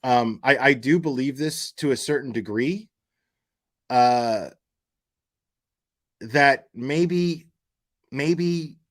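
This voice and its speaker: A man's voice: medium pitch, extremely slow, pauses often. A man hosting a casual true-crime livestream, blunt and opinionated, often repeating words and phrases as he works out his point. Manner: emphatic, opinionated, blunt with profanity. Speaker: livestream host.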